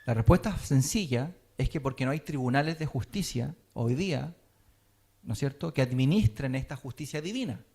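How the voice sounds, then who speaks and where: A man's voice: medium pitch, lively intonation, normal speed, darkish tone, breathy edge. A man teaching a sermon, often checking in with his listeners. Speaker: teacher of a Messianic congregation.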